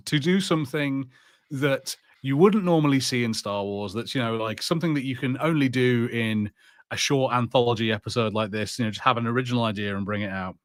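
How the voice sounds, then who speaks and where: A man's voice: mid-range voice, a bit bright, dry recording, studio quality. A man co-hosting a podcast, chatting casually through a film and TV review in an exasperated, sardonic way. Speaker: podcast co-host.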